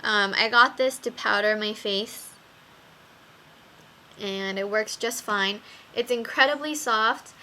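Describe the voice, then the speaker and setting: A young woman reviewing makeup brushes in a casual vlog, talking slowly with many ums while her voice wears out. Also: mid-range voice, sharp tone, slight background noise. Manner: slow, with many ums and fillers. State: losing her voice. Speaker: beauty vlogger.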